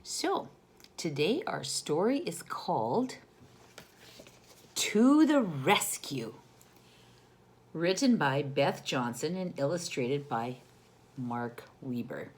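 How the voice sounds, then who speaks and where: A woman reads a storybook to young children at a leisurely pace. Her intonation swings widely, and she is expressive and teacherly, turning to the listeners with questions as she goes. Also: sits low, slow pace, pauses often. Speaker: storyteller reading a picture book to children.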